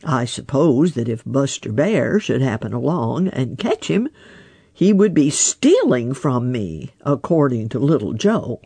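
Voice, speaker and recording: A storybook reader voicing a wise old male frog who answers calmly and mildly, with gentle teasing questions. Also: mid-range voice, a little slow, creaky, breathy edge, no room sound, heavily acted. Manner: calm, mild, teasing questions.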